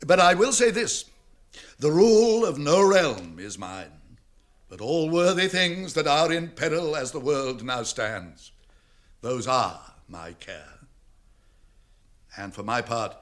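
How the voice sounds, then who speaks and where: An actor playing a wise, stern old wizard in British fantasy radio drama, with measured, weighty, archaic speech. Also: male voice, fairly high pitch, fairly bright tone. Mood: grave, resolute.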